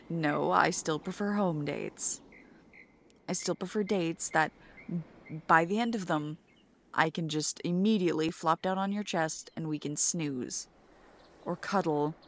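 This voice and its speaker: A young woman doing a soft, playful, teasing ASMR girlfriend roleplay. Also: low voice.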